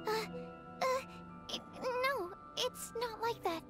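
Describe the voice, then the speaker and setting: Very high-voiced shy young alchemist. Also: female voice, takes it slowly, over loud music. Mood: flustered, shy. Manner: hesitant, stammering, polite. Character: shy, earnest young female alchemist, easily flustered.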